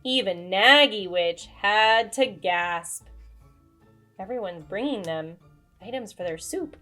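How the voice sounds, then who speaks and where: A woman narrating a children's storybook aloud in a dramatic, expressive, deliberate way. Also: mid-range voice. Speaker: children's book reader. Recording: music behind the voice. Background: music.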